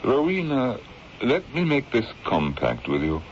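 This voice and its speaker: A man playing a firm, rational colonel and protective middle-aged father in an old radio drama, speaking with measured, reassuring authority. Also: medium pitch, huge pitch swings. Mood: gentle, concerned. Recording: dull, noisy old radio drama recording.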